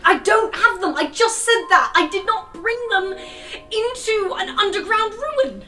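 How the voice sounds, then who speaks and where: A woman's voice: very high-pitched, a little slow, sharp tone, pitched above the performer's own voice, heavily acted. A woman playing an exasperated, sulky male student, complaining with dry sarcasm, in an improvised tabletop game. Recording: music behind the voice.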